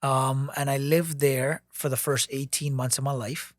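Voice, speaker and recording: A man's voice: mid-range voice, flat delivery, measured pace, no echo, clean studio sound. A man in his late twenties, born in New York, casually telling a long story on a podcast, full of restarts and fillers, swearing now and then. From born in New York, raised abroad by grandmother. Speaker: podcast co-host.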